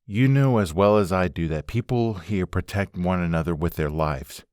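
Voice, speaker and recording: A man acts a serious comic-book investigator. His voice is low and recorded very dry, with a matter-of-fact delivery. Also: moderate pace, darkish tone, clear, resonant tone, dry recording, close to an everyday voice. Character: serious, frustrated male investigator talking with his partner. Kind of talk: comic dub acting.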